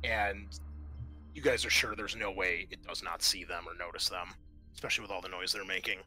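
A man's voice: deep voice, steady tone, brisk, sharp tone, faint music behind. A man narrating a tabletop roleplaying game as game master, describing scenes in an informal, improvised, hesitant way.

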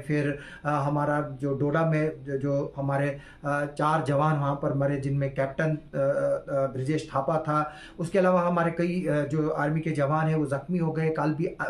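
A man in his forties delivers news commentary straight to camera, his voice sitting slightly high. He keeps stopping on hesitant fillers as he talks on earnestly. The small room around him rings with echo. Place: small indoor room with curtain backdrop. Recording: echoey room.

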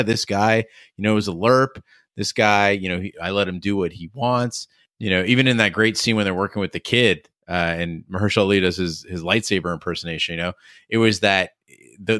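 A man hosting a podcast, talking through a review in a casual, measured, explanatory way. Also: lowish voice, a bit bright, no echo, clean studio sound. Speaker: podcast host.

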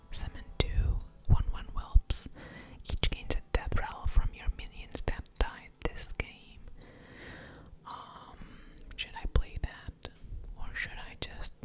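Female ASMR game host, unhurried whisper, soft mouth sounds, card game commentary.

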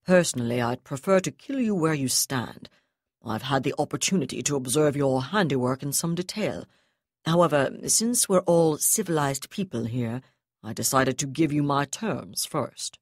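A woman voicing a cold, contemptuous male navy commodore who speaks with calm, clipped precision. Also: a bass voice, moderate pace, dry recording, clean studio sound. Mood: icy.

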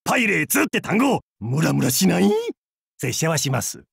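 An actor playing a boisterous, crude and goofy male pirate captain in a game, with a gravelly, husky voice and a hammy, theatrical, jokey way of talking. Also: fairly high pitch, very animated, normal speed, no room sound, studio quality, heavily acted. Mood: hammy battle cries. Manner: hammy, theatrical, jokey otaku-style speech. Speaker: game character voice. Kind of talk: voiced game character lines.

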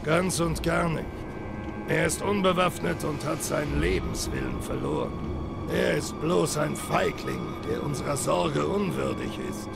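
An actor playing a dismissive, scornful guard soldier in an audio drama, with a very gravelly voice. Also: male voice, fairly high pitch, wildly swooping pitch, unhurried, loud music behind the voice, heavily acted. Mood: contemptuous. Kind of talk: German audio drama acting.

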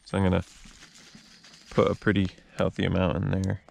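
A man in his thirties casually narrating a how-to at a wooded campsite, chatty and relaxed, talking to viewers as guys. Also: low voice, moderate pace, vocal fry, slight background noise. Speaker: fishing and camping vlogger. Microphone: wearable action camera.

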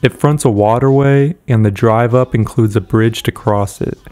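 A man with a smooth, resonant voice reads a polished script at an even, measured pace. His pitch sits in the ordinary middle range for a man. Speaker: narrator. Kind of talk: scripted narration.

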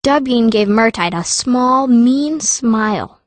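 A woman's voice: a little high, expressive, takes it slowly, no room sound. A woman playing a fierce, wry teenage girl mage, narrating her own story in an audiobook. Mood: wry storytelling. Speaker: first-person narrator.

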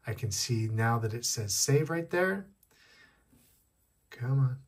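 Male tech tutorial host, a bit husky, casual step-by-step delivery. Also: mid-range voice, expressive, slow. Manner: step-by-step explaining, repeating key points. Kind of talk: casual hands-on demonstration. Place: indoors at a wooden desk.

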